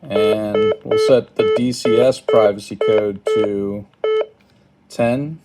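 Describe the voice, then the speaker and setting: A man giving a casual step-by-step how-to, halting and thinking aloud, recorded indoors at a tabletop. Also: medium pitch, huge pitch swings, over loud music. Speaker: product tutorial presenter. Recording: clearly noisy home recording, button clicks.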